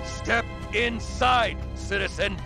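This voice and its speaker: A man's voice: sits high, slow, over music. A man playing a commanding, sinister official in a game cutscene. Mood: cold, commanding.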